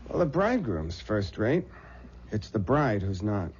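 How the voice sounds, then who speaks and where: An actor playing a concerned, reassuring young bridegroom in an old-time radio drama, gentle and a little hesitant. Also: male voice, on the low side, big pitch swings, a little slow. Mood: worried, hesitant. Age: young adult. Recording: dull, faintly noisy radio recording.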